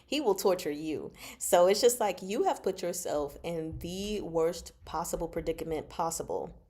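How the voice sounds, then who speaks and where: A woman's voice: lowish voice, normal speed, dark tone. A woman hosting a livestream book discussion, talking in a casual, slangy, emphatic and teasing way, reacting expressively as she goes. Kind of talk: casual chatty book commentary with live chat.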